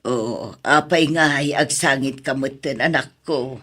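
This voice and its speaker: An actress plays a worried middle-aged mother in a drama. Her low voice is rough and unhurried, gentle and coaxing. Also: heavily acted. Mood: soothing.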